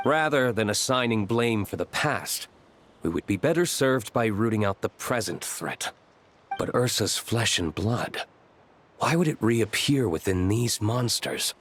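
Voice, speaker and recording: A sober male investigator for a video game, pitched on the low side. He is analytical and suspicious, speaking formally with grave deliberation. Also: lively intonation, a little slow, a bit bright, slight background noise.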